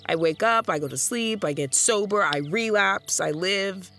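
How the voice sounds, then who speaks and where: A woman's voice: mid-range voice, sharp tone, faint music behind. Troubled woman addict for fiction podcast. Medium register, raw, self-loathing, stumbling naturally over words. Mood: weary, despairing. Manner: halting, raw, conversational. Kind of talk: audio fiction drama acting.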